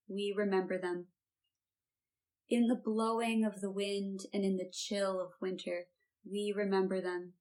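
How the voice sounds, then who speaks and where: A woman solemnly reciting a memorial litany in a measured, repeating cadence. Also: mid-range voice, darkish tone, rough recording. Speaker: reader at a memorial service.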